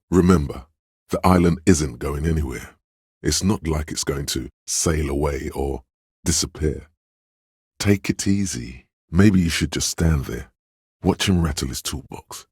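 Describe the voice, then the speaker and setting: A super deep raspy voice in your head, sly and coaxing, video game narration, really dry close sound. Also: male voice, darkish tone, no echo, heavily acted. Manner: insinuating, advising, deliberate. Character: suggestive inner voice of a detective's mind, smooth, coaxing.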